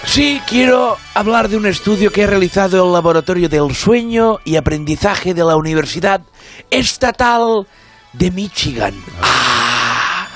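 Man doing a high-pitched, bombastic rhyming commentator. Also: a bit bright. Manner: declaims in rhymes and puns, exclaiming. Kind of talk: comedy radio impersonation. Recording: music playing behind.

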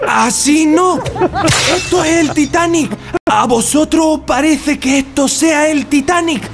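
A man's voice: very high-pitched, a little slow, vocal fry, loud music behind the voice, heavily acted. A man playing an irate, bossy, perfectionist director of a radio drama in a comedy sketch. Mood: exasperated, furious. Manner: exclamatory, bossy.

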